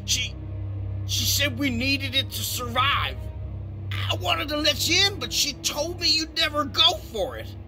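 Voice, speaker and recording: An actor playing a frightened, cowardly turncoat who pleads for himself in a dramatic video game. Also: male voice, extremely high pitch, lively intonation, unhurried, pushed and bright, soft background music, exaggerated voice. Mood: nervous confession.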